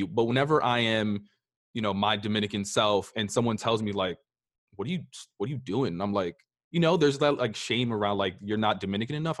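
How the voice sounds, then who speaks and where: A man's voice: on the low side, a bit bright, dry recording, clean studio sound. A man hosting a podcast in casual, personal conversation, acting out remarks that others have made. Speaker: podcast host.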